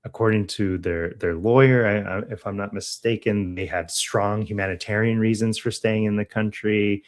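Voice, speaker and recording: Low-voiced American man discussing local news. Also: fairly even intonation, moderate pace. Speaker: podcast co-host.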